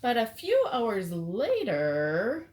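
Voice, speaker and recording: Slow, animated woman reading a storybook. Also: fairly high pitch. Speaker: read-aloud storyteller. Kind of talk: expressive picture book narration for children.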